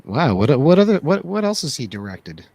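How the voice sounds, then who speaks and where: A man's voice: a little high, sing-song, brisk, creaky, darkish tone, breathy edge, no echo. A man in casual movie review conversation, talking in an enthusiastic, slangy way. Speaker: film review show host.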